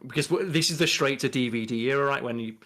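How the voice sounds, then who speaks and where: Young man, podcast co-host, medium pitch, casual rambling chat, bedroom video call. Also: quick pace, fairly bright tone. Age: young adult.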